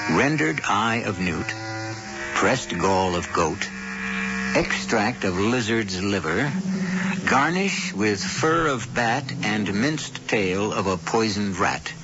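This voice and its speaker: A man hosting and narrating a radio mystery show in a grave, theatrical, teasing way. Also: on the low side. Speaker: host narrator. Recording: dull old radio broadcast with loud music.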